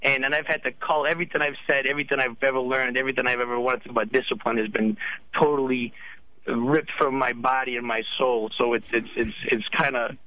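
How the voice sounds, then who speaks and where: A man's voice: medium pitch, flat delivery, quick pace, no room sound. A man from Rhode Island calling into a radio show, rambling with many restarts. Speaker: caller. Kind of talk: phone-in chat. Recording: slightly dull radio audio.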